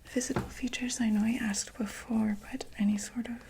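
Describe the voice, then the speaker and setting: A woman playing a gentle vampire doctor in a whispered ASMR medical roleplay, reassuring and a little hesitant. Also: a little high, little pitch movement, moderate pace, gravelly, a little hiss or hum. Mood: calm, reassuring. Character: gentle female vampire doctor examining a patient.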